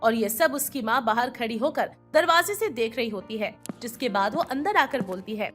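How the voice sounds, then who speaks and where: Casting: female cartoon story narrator, high voice with animated intonation. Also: moderate pace, soft background music.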